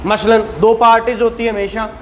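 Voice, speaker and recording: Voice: mid-range voice, level tone, normal speed, a little hiss or hum. A law lecturer with a Pakistani accent explains in a conversational, didactic way, repeating points and asking rhetorical questions.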